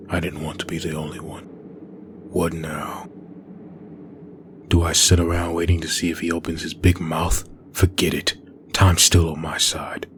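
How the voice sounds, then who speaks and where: A man's voice: a bass voice, a little hiss or hum. A man voicing a bitter, brooding undead antihero in a grim inner monologue for a comic dub. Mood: defiant.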